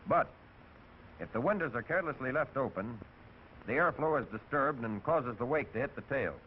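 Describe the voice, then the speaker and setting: A man's voice: mid-range voice, lively intonation, normal speed. A man narrating technical procedures step by step in a scripted 1940s training film. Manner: methodical, step-by-step. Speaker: instructional narrator. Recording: tinny, hissy 1940s film soundtrack.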